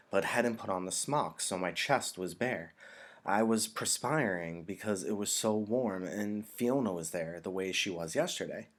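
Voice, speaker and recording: A hesitant young boy as read by a man from a storybook, speaking in a low register for his age. He is earnest and halting, embarrassed by what he confides.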